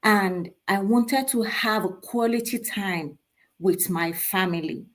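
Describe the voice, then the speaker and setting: A woman, a guest on an online show, speaking in a measured, deliberate way. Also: on the low side. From Nigeria.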